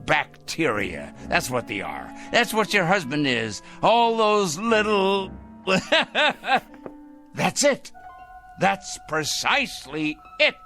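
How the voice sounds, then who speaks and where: A fussy, fanatical moral crusader in an old TV drama. His voice is bright and sharp, swinging widely in pitch as he picks out each pompous word slowly. Music plays underneath. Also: huge pitch swings, exaggerated voice. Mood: manic. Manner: pompous, fussy, emphatic diction. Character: self-righteous, fanatical crank obsessed with punishing evil. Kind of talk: vintage television drama acting.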